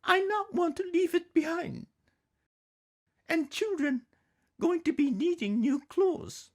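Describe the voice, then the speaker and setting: A man voicing a young, practical immigrant mother, speaking halting broken English softly in a storybook reading. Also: very high voice, pitched above the performer's own voice, heavily acted. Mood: quietly defiant. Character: young immigrant mother, soft-spoken, practical, quietly defiant.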